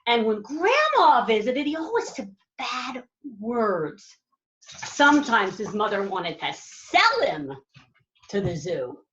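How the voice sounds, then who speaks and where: A woman reading a children's storybook aloud like a teacher, with drawn-out, dramatic emphasis and asides to her young listeners. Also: a little high, big pitch swings, a bit bright, reverberant, lo-fi sound. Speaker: elementary school teacher reading aloud to pupils.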